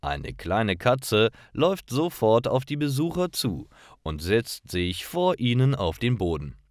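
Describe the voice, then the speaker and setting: A man reading scripted stories for language learners, phrasing each short sentence deliberately and enunciating clearly. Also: medium pitch, very animated, no echo, clean studio sound. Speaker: narrator of German learning stories.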